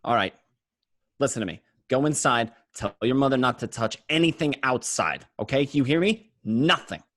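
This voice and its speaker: A man's voice: medium pitch, expressive, moderate pace, a bit bright, no echo, clean studio sound, lightly acted. A man playing a paranoid, streetwise gangster narrating his day in a radio play, talking in a coarse, conversational way. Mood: wary, commanding. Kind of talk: first-person crime narration in a radio play.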